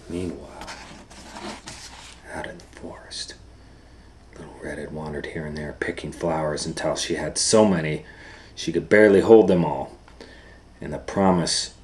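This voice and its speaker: A man reading a children's story aloud as host, dramatically, moving between hushed and loud. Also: low voice. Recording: faint background noise.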